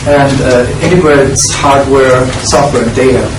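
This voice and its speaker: A man with a German accent giving a slide presentation to a small audience, talking in a halting way with many fillers and restarts. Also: medium pitch, fairly even intonation, noisy background. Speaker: industry speaker. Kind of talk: conference presentation. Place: classroom with projector screen. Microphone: far room camera. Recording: echoey room from far off.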